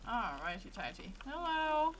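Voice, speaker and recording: A woman's voice: on the high side, sing-song, a little slow, background noise. A woman streaming a game, giving casual, playful and wry commentary as she talks back to the characters. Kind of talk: casual live gameplay commentary.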